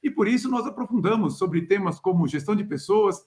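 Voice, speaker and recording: A man's voice: high-pitched, expressive, a little fast, a little mellow. A male podcast host and career coach, interviewing a guest in a chatty way with many restarts and affirmations. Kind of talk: conversational interview.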